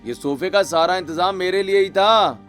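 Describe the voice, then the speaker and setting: A wry young bridegroom, played by a male narrator, who teases and retorts in a cartoon story. The voice is somewhat high, with music behind. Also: normal speed, fairly bright tone. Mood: scolding. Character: wry young bridegroom, teasing older brother. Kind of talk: Hindi cartoon story acting.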